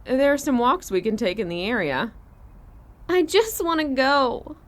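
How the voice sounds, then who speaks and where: A woman's voice: sits high, big pitch swings, measured pace. A woman playing an upset, sullen teenage girl in an audio drama.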